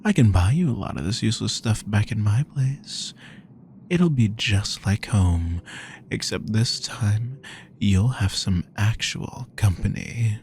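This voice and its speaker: A man voicing a possessive, powerful male vampire, speaking slowly with a gravelly voice and frequent whispers, menacing and obsessive, close to the mic. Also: on the low side, lively intonation, darkish tone, slight background noise. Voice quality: gravelly, slow, often whispering. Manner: menacing, possessive, drawn-out delivery. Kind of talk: roleplay audio drama.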